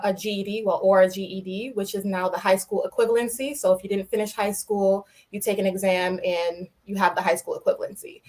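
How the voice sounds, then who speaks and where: A young woman from New York City, a podcast guest explaining her work with light laughs. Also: mid-range voice. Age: young adult. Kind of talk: podcast interview.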